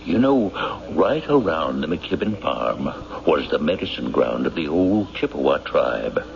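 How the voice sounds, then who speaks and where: A man playing a persuasive small-town banker and civic leader in a radio drama, measured, insinuating and argumentative. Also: rumbling low, very animated, creaky, full character voice. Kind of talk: old-time radio drama acting. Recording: dull old radio broadcast.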